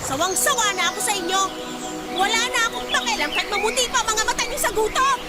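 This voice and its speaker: A woman playing an angry, indignant grown daughter in a radio drama, sharp-tongued and defiant. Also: very high voice, fast talker, a loud crowd. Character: angry grown daughter confronting her father and his partner. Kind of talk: Filipino radio drama acting. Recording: loud music bed.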